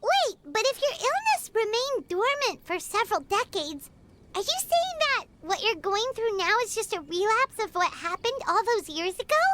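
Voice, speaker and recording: A woman voicing a small, excitable female fairy companion who talks about herself in the third person, in a game cutscene. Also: normal speed, noisy background. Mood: puzzled. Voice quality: high and squeaky.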